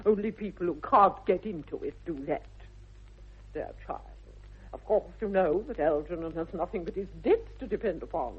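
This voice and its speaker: An imperious older English aristocrat in a vintage radio comedy. Her upper-class delivery is haughty and emphatic, swooping widely with a husky edge. The recording is muffled and tinny. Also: lowish voice, lively intonation, normal speed. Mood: commanding. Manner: grand, haughty, emphatic drawl. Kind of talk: 1960s radio comedy acting.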